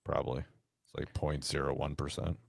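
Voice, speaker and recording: Deep-voiced man making deadpan wisecracks. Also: normal speed, creaky, no room sound, studio quality. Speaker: podcast co-host. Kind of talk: casual comedic banter.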